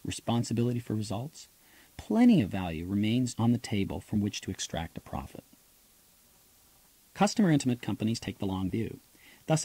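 A man reading his own nonfiction audiobook as a scripted narration, in a measured, explanatory manner. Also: mid-range voice, gravelly, warm tone, breathy edge, no echo.

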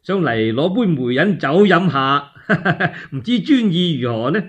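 Man playing coaxing Cantonese envoy, lively pitch. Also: measured pace, husky, muffled recording, exaggerated voice. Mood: courteous. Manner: courteous, coaxing, emphatic. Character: smooth, persuasive male envoy acting as matchmaker.

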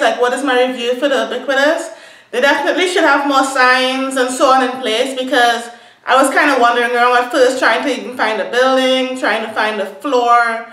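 A woman's voice: sits high, a bit bright, echoey room, recorded from a distance, rough recording. A young woman giving a casual, upbeat review to camera, thinking aloud as she goes. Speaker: vlogger. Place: small room indoors. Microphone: camera in front of her.

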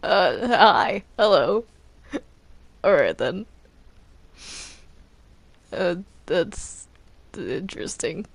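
Woman gamer, slightly low creaky voice, unhurried, halting and repetitive, casual roleplay chatter. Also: very animated, no room sound. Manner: hesitant, stammering, repeats words. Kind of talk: casual improvised gameplay chat.

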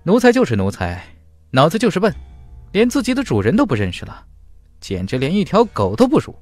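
A man's voice: fairly high pitch, huge pitch swings, brisk, a little mellow, no room sound, faint music behind, voice pushed up. A man voicing a confident young male fantasy hero in an audiobook, disdainful and taunting. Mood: scornful.

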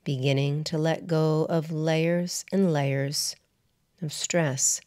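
A woman guiding a meditation as a yoga nidra teacher, in a soothing, measured manner with deliberate pauses between short phrases. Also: low voice, fairly even intonation, a little mellow. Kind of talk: guided meditation narration.

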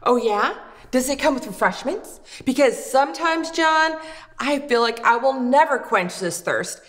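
A woman in her thirties with a high, swooping voice. She delivers scripted children's show dialogue playfully and expressively, with long room reverb around her. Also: expressive, unhurried. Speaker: children's puppet show host. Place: indoor wooden puppet stage set.

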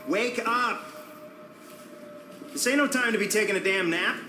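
Brusque streetwise game guy, hurrying everyone, echoey, noisy behind. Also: high-pitched. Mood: urgent. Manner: hurried, slangy. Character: brusque, urgent streetwise man rousing escapees. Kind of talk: video game cutscene acting.

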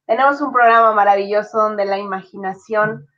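A woman's voice: mid-range voice, fairly even intonation, brisk, fairly bright tone. A young woman with a Mexican Spanish accent hosting a live show over a video call, speaking enthusiastically in flowing lists. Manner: enthusiastic, list-like phrasing. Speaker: radio host. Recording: dull lo-fi video call audio.

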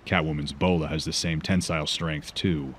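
A man's voice: very deep voice, steady tone, moderate pace, heavy background noise. A man voicing a grave, guarded vigilante detective in a comic book fan dub, speaking solemnly and tersely. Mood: grim, analytical.

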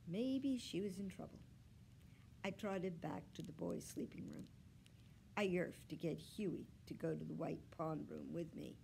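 A woman voicing an earnest, fretful pet dog who tells his own story, in expressive, confiding storybook narration. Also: lowish voice, a little slow, creaky, slight background noise.

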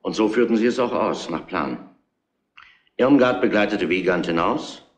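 An actor playing a gruff, domineering police inspector who deliberately lays out a case in a retro crime radio play. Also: male voice, lowish voice, reverberant, close to an everyday voice. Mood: grave.

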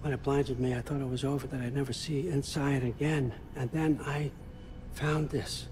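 A man playing a sly, grandfatherly one-eyed god-king in a video game, with a folksy, theatrical storyteller's delivery over loud music. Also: mid-range voice, little pitch movement, normal speed, a little hiss or hum, close to an everyday voice. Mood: grave, confiding. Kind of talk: video game character acting.

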